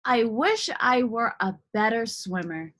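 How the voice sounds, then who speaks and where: A woman's voice: a little high, animated, measured pace, fairly bright tone. A woman voicing an eager, naive young male narwhal from a storybook in an exclamatory, wide-eyed way. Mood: wistful. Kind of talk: storybook character voice.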